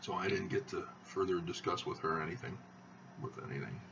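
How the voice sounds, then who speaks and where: A man hosting his own video channel, talking in a slow, halting monotone with many fillers as he rambles. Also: deep voice, vocal fry, recorded from a distance, lo-fi sound, heavy background noise. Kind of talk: rambling monologue to camera.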